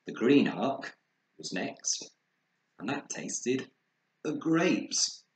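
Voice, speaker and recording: A man narrating a children's story with expressive, dramatized delivery, recorded in an echoey room. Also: medium pitch, drawn-out words. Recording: echoey room with faint noise.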